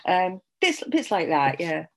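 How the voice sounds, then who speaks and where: A woman's voice: on the low side, big pitch swings, measured pace. A middle-aged English woman author with traces of a Scottish accent, chatting casually in an interview, laughing often and restarting her sentences. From England.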